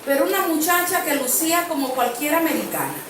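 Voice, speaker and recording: A woman's voice: high-pitched, expressive, fairly quick, noisy background. A woman preacher giving an earnest sermon, telling a personal story and repeating phrases for emphasis. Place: church sanctuary. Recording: very echoey church from a distance.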